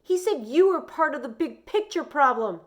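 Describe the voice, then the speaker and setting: A woman's voice: sits high, expressive, moderate pace, pitched above the performer's own voice. A woman voicing an agitated young boy who blurts out his troubles in insistent, run-on complaints. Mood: frantic.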